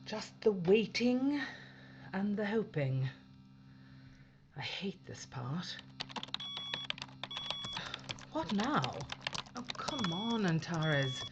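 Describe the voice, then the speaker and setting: A woman's voice: medium pitch, big pitch swings, slow pace, long pauses, background noise. A woman playing a tense, weary spaceship captain with a wry, stubborn streak in an audio drama. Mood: exasperated, muttering. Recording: dull band-limited audio with music underneath.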